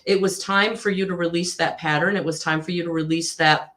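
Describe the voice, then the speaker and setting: A woman giving a live tarot reading to viewers, with a husky voice, slightly hoarse after a recent illness, speaking in a soothing, repetitive way with frequent ums. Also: fairly low pitch, steady tone, brisk, a bit bright. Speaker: tarot reader.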